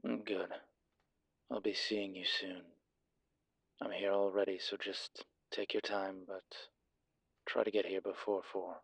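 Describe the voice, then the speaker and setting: An actor playing a suave, wry incubus demon in an intimate audio roleplay, speaking in a teasing, polished, deliberate manner with a husky, breathy voice. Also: male voice, low voice, little pitch movement, dry recording, exaggerated voice. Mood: wry, hushed.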